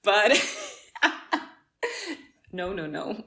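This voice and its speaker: A woman's voice: mid-range voice, wildly swooping pitch, drawn-out words, bright, forceful tone, a little hiss or hum. A young woman from Cyprus, a musician chatting in an interview, laughing often and restarting her sentences. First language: Greek. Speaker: musician guest. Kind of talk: casual interview chat.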